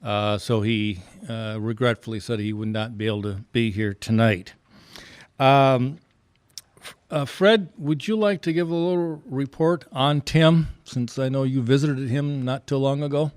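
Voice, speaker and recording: A man's voice: medium pitch, unhurried, smooth, no echo. Rambling man chairing a club meeting. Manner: rambling, hesitant. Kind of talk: informal meeting remarks and announcements.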